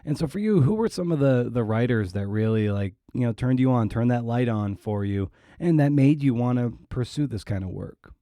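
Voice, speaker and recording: A man's voice: mid-range voice, lively intonation, moderate pace, hardly any pauses, warm tone, dry recording, clean studio sound. A man hosting a podcast, asking questions in a casual, conversational way that rambles and circles toward the point. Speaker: podcast host, interviewer.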